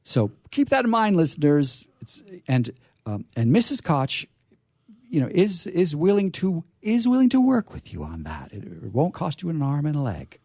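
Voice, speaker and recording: A man's voice: on the high side, huge pitch swings, normal speed, dark tone, slightly rough, no echo. A man hosting a radio readings show in a conversational way, with playful, florid phrasing. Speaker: host introducing readings.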